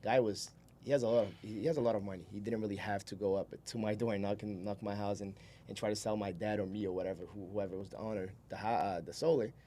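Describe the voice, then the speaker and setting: A man's voice: lowish voice, steady tone, quick pace, hardly any pauses, mellow, slight background noise. A man, telling his story casually and informally as an interview guest, in a rambling, easygoing way. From Brazil. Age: 20s. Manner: informal, rambling, chuckles while talking. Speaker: podcast guest, solar salesman.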